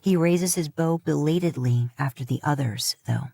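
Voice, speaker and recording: A woman's voice: low-pitched, normal speed, vocal fry, mellow, breathy edge, no room sound. A woman reading a scripted story aloud in the third person, as an audiobook narrator. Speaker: fan fiction audiobook narrator.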